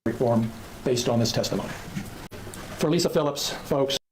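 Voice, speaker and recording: A man, a lawyer, giving a formal and measured closing argument to a jury in court. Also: mid-range voice, slight background noise, low-quality recording. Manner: measured and formal, listing demands.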